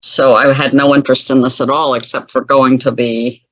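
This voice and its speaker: A woman's voice: a bass voice, flat delivery, normal speed, a bit bright, dry recording, low-quality recording. A woman telling a personal story as an interview guest, chatty and wry, laughing often at her own remarks. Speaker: guest author.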